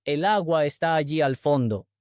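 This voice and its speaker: Male host-teacher, mellow voice, even measured read, dry close sound. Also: fairly high pitch, no echo. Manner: clear, even, measured delivery. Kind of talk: slow scripted dialogue reading.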